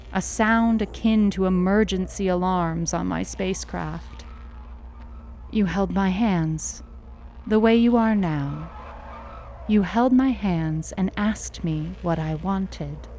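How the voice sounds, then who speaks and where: A woman narrating a short story in an intimate first person, with a measured, tender, dramatic delivery. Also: fairly low pitch, a little mellow, slight background noise.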